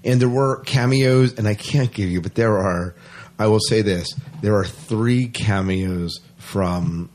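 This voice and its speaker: A man's voice: fairly low pitch, measured pace, a little mellow, slight background noise. A man hosting a podcast, chatting casually and emphatically as he gives a review. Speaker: podcast host reviewing a fan film.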